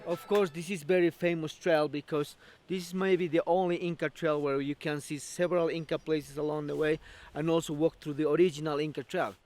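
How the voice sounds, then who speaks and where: A man's voice: on the high side, steady tone, brisk, darkish tone, soft background music. A man guiding hikers and patiently explaining local words and history. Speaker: trek guide.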